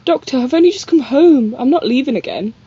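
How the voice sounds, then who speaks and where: A woman's voice: high-pitched, animated, brisk, dark tone, highs cut off. A young woman playing a stubborn, plain-spoken daughter in an audio drama, pleading and questioning.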